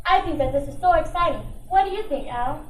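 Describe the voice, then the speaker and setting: Woman acting a very high, girlish sweet blonde. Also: expressive, fairly quick. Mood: bright, chatty. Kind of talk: old-time radio drama acting. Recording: roomy, distant mic with faint noise.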